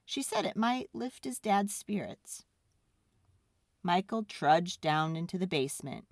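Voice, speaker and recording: Children's read-aloud narrator: woman, highly varied intonation, expressive and deliberate, dry close recording. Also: creaky, no room sound. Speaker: storyteller.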